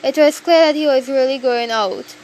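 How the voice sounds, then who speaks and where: A woman's voice: high voice, normal speed, a little mellow, slight background noise. A woman narrating a scripted story in the first person.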